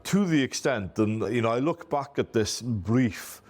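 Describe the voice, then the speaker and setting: A man's voice: mid-range voice, lively intonation, measured pace, a little mellow. A middle-aged man from Wales with a Welsh accent, a Welsh first-language speaker, talking casually and self-deprecatingly as an interview guest, often saying "you know". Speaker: MSP business owner, guest.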